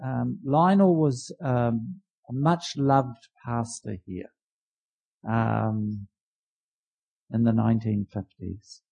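A man leading a church service, welcoming a guest and praying in an informal, halting way. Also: medium pitch, fairly even intonation, creaky, mellow, husky. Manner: informal and halting, many restarts. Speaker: church leader.

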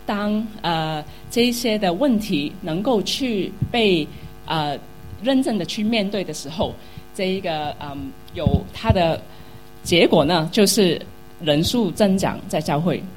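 A woman's voice: lowish voice, lively intonation, a little slow, soft background music, low-quality recording. A woman interpreting a sermon into Mandarin, which is not her first language, hesitant and full of fillers.

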